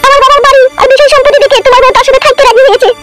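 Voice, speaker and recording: A woman's voice: very high voice, flat delivery, racing, loud music behind the voice. A woman voicing a lovesick, pleading, insistent young woman in an amateur comedy cartoon.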